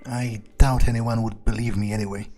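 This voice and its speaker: Male gamer, medium pitch, roleplaying in character: blunt, gruff, halting musing, faint music behind. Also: little pitch movement, mellow. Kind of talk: in-character video game playthrough, thinking aloud.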